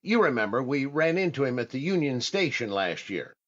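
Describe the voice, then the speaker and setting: A man's voice: medium pitch, very animated, a little fast, fairly bright tone. An actor playing a fussy, hesitant, easily exasperated male comedian in a 1940s-style radio comedy. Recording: dull online radio-play recording.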